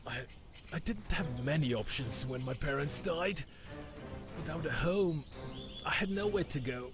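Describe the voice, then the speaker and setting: A badly wounded young male bandit in a video game, slightly high in pitch and slow to speak. He is gentle, halting and deferential, resigned to his weakness. Loud music and birdsong play behind him. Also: animated. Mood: pained, regretful. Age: young adult. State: weak, badly injured, coughing.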